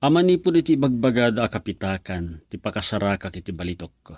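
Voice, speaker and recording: An earnest young suitor. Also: male voice, lowish voice, very animated, fast, a little mellow, husky, no echo, studio quality. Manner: earnest, pleading with his mother. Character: young man chasing a mysterious bar girl. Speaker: actor. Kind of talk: radio drama acting.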